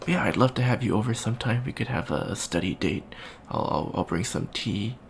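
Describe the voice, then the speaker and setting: A man's voice: fairly low pitch, fairly quick, gravelly. A man playing a shy, friendly young avian alien student in an ASMR roleplay, hesitant, stammering and rambling. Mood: shy, eager. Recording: faint background noise.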